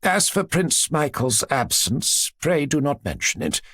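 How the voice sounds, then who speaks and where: A man reading aloud, voicing a cool, sharp-tongued old grandmother who stresses her words pointedly. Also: on the high side, very animated, unhurried, dry recording, voice pushed up, exaggerated voice.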